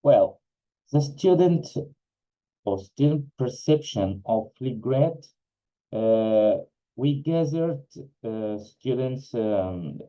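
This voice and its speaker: Slow, dark-voiced man from Egypt presenting. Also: medium pitch, animated, low-quality recording. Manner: hesitant, many uh fillers. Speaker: conference presenter. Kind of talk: academic presentation.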